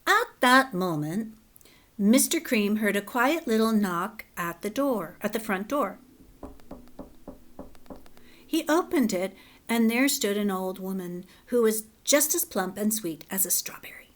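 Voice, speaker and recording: A woman teacher reading a children's story aloud and then explaining it in a clear, measured way. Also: medium pitch, lively intonation, a bit bright. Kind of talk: children's read-aloud and lesson.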